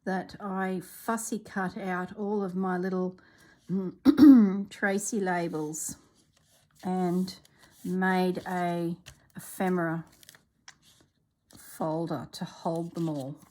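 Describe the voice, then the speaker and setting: Slow, soft-spoken woman with a congested cough. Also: on the low side, steady tone. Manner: soft-spoken, often drops to a whisper while working. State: congested, coughing, clearing her throat. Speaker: craft video maker. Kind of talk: relaxed crafting commentary.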